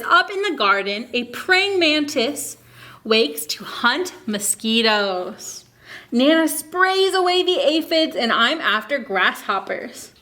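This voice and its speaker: A woman hosting a children's story time, reading a picture book aloud expressively and pausing to ask young listeners questions and explain. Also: sits high. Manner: asks listeners questions, expressive teaching delivery.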